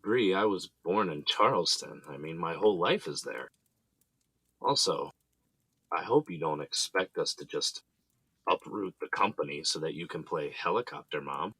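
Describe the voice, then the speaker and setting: Actor as easygoing teasing husband, deep and husky with bright edge, dry close audio drama sound. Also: male voice, lively intonation, moderate pace, no echo, full character voice. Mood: playful. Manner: teasing, jokey. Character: playful, teasing middle-aged husband and proud father.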